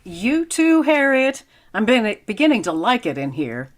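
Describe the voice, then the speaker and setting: A woman's voice: on the high side, big pitch swings, unhurried. A woman playing a pleasant, wisecracking schoolteacher with a dry, wry manner in old-time radio comedy style.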